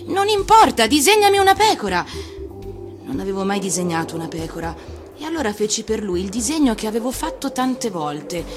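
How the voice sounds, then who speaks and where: A woman actor reading a storybook for radio, expressive and theatrical, with deliberate phrasing. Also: mid-range voice. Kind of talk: expressive scripted storybook narration.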